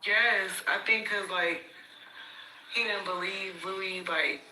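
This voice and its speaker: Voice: mid-range voice, takes it slowly. A speaker in a casual group chat, animatedly telling stories and quoting friends. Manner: animated storytelling, quoting others. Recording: roomy audio with faint noise.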